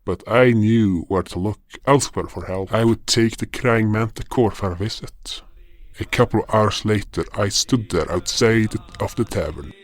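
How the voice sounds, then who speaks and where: A man's voice: fairly low pitch, normal speed, no room sound, natural voice. An actor playing an indignant, impulsive frost guardian beast, telling his fantasy tale in a dramatic, grumbling manner. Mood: brooding, wry. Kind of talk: first-person fantasy story narration and dialogue.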